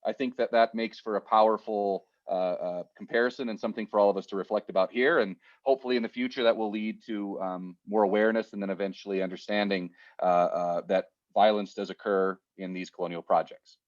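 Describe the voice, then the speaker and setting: Low-voiced hesitant man on a muffled call. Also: steady tone. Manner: hesitant, many uhs and restarts, measured. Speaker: university teacher and panelist. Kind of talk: unscripted panel remarks.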